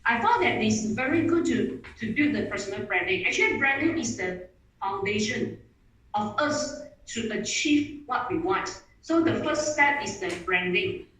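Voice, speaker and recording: A woman with Mandarin as her gives an online presentation. Her voice sits a touch high and sounds off-mic, as if from across a room. Echo rings around every phrase, and she restarts her sentences eagerly. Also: lively intonation, moderate pace, fairly bright tone. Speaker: webinar speaker. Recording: echoey room audio from a distance.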